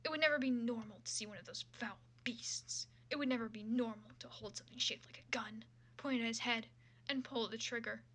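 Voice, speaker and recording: A woman with a fairly high, bright and forward voice reads fan fiction aloud. Her delivery is casual and irreverent, mixing the prose with loose, sweary commentary of her own. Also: brisk. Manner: irreverent, casually profane asides.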